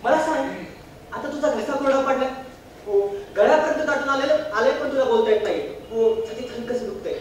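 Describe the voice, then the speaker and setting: A man's voice: extremely high pitch, fairly quick. An actor playing a bossy, comic young man counselling his friend in a stage play, coaxing and insistent. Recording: echoey stage recording from far off, faint noise.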